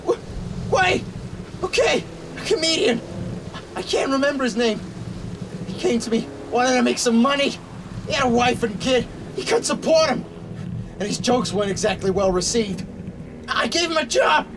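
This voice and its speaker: A man playing a frightened small-time mob enforcer in a radio drama, nervous and halting. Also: extremely high pitch, lively intonation, background noise. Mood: panicked.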